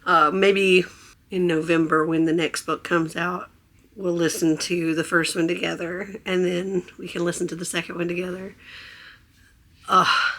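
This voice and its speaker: A hoarse woman who has nearly lost her voice, chatting in a casual, gushing vlog aboard a cruise ship. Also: fairly low pitch, level tone, unhurried, a bit bright. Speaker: vlogger. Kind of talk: casual travel vlog chat.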